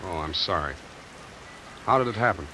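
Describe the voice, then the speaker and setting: An actor playing a tough, wry American private detective in a clipped 1940s radio noir style. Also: male voice, low voice, lively intonation, normal speed, bright, forceful tone, a little hiss or hum, rough recording. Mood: gentle probing. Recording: vintage radio, rain and music behind.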